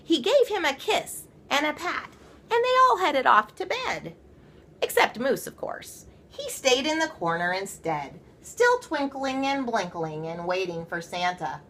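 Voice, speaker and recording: A woman reading a children's story aloud, with playful, theatrical emphasis and drawn-out words. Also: sits high, very animated, fairly bright tone. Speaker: storybook reader. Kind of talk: animated children's read-aloud.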